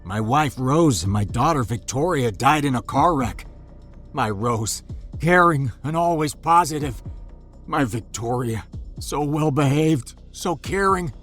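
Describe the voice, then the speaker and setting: A man's voice: medium pitch, lively intonation, a little slow, a bit bright, faint music behind, pitched above the performer's own voice. Man voicing a lonely haunted house. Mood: mournful. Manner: mournful, then coldly insistent. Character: lonely grieving haunted house, male, turns menacing.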